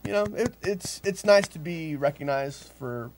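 A man who plays drums talks about himself in a halting, self-deprecating ramble. His voice sits somewhat high. It is easy, casual interview talk. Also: expressive, rough recording. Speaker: drummer in a band.

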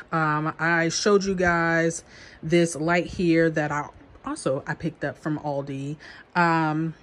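A young woman with a slightly low, stuffy voice gives her viewers a friendly, chatty update, dropping the odd "um" along the way. She is a little under the weather but keeps it upbeat. A faint background hum sits under her handheld phone recording in a basement full of plant shelves. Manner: chatty and upbeat, addresses viewers directly with frequent ums. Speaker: gardening vlogger. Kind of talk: casual gardening vlog walkthrough. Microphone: handheld phone camera.

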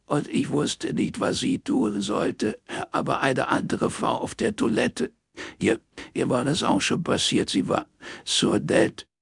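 Man playing a distraught woman, high strained pitch, tearful, halting stammer, husky, audiobook phone scene. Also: huge pitch swings, no room sound, clean studio sound, full character voice. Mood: sobbing, stammering. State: crying. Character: distraught woman sobbing through a phone call.

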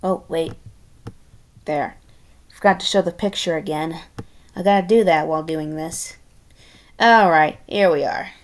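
A woman reading a children's story aloud in an expressive, halting way, with casual chatty asides. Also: low voice. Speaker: storybook reader.

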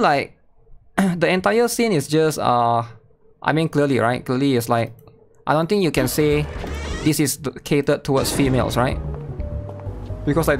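Casual young man reacting on stream. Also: fairly high pitch. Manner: repeats questioning tags like "right?" and "guys". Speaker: streamer reacting to a game trailer. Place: indoor room, streaming at a desk. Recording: game trailer music behind voice.

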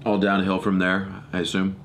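A middle-aged American man talks casually into a close studio microphone as a podcast host. His voice is very low. He rambles and corrects himself as he goes, with faint noise behind him. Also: completely flat delivery, normal speed. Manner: rambling, self-correcting storytelling. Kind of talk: casual solo podcast chat. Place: small home studio room. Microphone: close boom-arm podcast microphone.

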